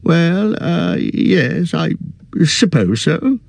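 A man reading aloud, voicing a fussy, aging male hobbit in a high, quavering, petulant voice that grows possessive. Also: big pitch swings, very slow, gravelly, no echo, exaggerated voice. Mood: flustered.

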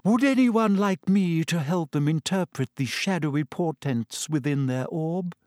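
A man voicing a dreamy, theatrical older female seer who murmurs in a breathy, mystical way. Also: fairly high pitch, unhurried, a little mellow, dry recording, clean studio sound, pitched above the performer's own voice, lightly acted.

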